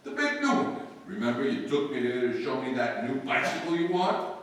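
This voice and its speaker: A man's voice: medium pitch, huge pitch swings, normal speed. An actor playing a blustering, scheming, dim-witted working-class father in old-time radio sitcom style, emphatic and mangling his grammar. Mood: cajoling. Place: live stage performance. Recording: echoey live hall, far from mic.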